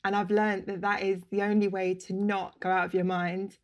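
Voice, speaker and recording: A woman's voice: mid-range voice, flat delivery, normal speed. Laughing woman in her thirties. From England. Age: 30s. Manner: warm, laughing, open storytelling. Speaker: podcast guest. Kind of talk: casual interview chat. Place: home room, indoors.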